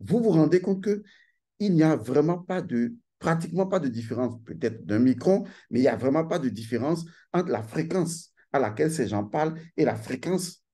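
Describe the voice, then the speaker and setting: A man holds forth in a lecturing monologue, his pitch rising and falling widely as he drives each point home. His tone is mellow and warm, and he talks in an emphatic, insistent way, repeating key phrases for effect. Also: animated, normal speed, husky. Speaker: channel host giving a talk.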